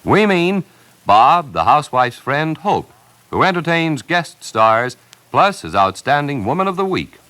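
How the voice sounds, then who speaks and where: A man's voice: fairly high pitch, big pitch swings, a little slow, bright, forceful tone. An American man announcing programmes in a polished, jaunty, scripted 1950s radio style.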